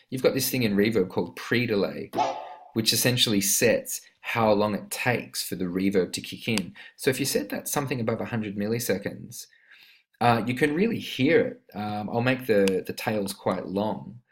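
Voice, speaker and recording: A man giving an informal, step-by-step screen-recorded tutorial on music production software, talking as an instructor. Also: on the low side, animated, normal speed. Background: synth instrument notes played during the demonstration.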